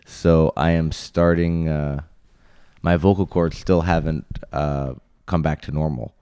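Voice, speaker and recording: A man with laryngitis, hoarse and low, chatting casually as a podcast co-host. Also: unhurried, dry recording, clean studio sound. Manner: relaxed, chatty, reads sponsor ad casually.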